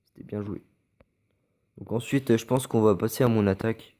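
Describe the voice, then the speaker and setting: French man, low mellow voice, congested, halting casual game commentary. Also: fairly even intonation. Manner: hesitant, many fillers and restarts. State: stuffy from a cold. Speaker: gameplay commentator. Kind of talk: casual gameplay commentary over recorded matches.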